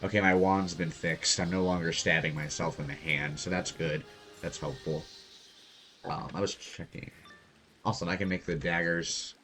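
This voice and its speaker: A soft-spoken young man casually commenting on his gameplay as host. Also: sits low, steady tone, normal speed, faint music behind. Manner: quiet, soft-spoken, laid-back. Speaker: gaming channel host.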